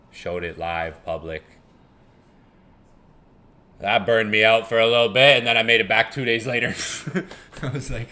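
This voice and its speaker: Bright-voiced young man rambling on a live stream. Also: on the low side. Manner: rambling, chuckling, swears casually, repeats himself. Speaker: live-stream trading host. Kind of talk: casual live-stream commentary.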